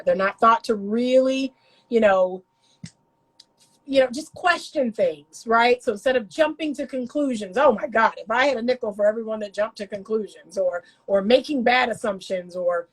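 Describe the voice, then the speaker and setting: A fairly high-voiced woman speaks to her viewers as a relationship coach. Her voice is congested from a passing cold, and she leans on each point with emphatic repetition. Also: normal speed, slightly rough, poor audio. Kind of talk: casual vlog commentary.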